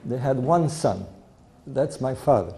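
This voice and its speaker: Older man with a mixed accent, mellow voice. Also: mid-range voice, expressive. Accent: mixed European-Egyptian. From Egypt. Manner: unhurried, anecdotal, chuckles at his own story. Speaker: speaker giving a talk to an audience. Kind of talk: storytelling talk.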